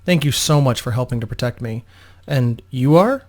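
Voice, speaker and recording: A man's voice: medium pitch, normal speed, darkish tone, lightly acted. A man voicing a young female opera singer, polite, grateful and slightly anxious, in a storybook reading. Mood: polite, welcoming.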